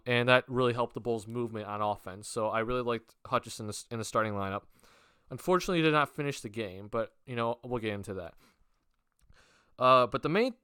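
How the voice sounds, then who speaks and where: A man's voice: medium pitch, fairly bright tone, smooth, dry recording, studio quality. A young man with a cold and a worn voice, casually talking basketball on his own channel, rambling with many fillers and restarts. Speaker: basketball vlogger. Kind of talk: casual sports talk to camera.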